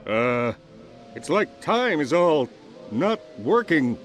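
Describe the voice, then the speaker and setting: A man's voice: fairly high pitch, big pitch swings, takes it slowly, faint music behind. An actor playing a boastful, cowardly, dim-witted male superhero who talks bombastically and narrates. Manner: bombastic, goofy, panics loudly.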